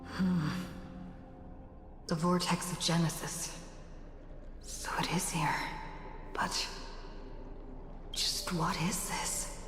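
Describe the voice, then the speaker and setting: An actress playing a pensive, wondering adventurer in a fantasy game, musing aloud to herself. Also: female voice, fairly low pitch, flat delivery, drawn-out words, pauses often. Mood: hushed wonder. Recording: long echoing reverb over music.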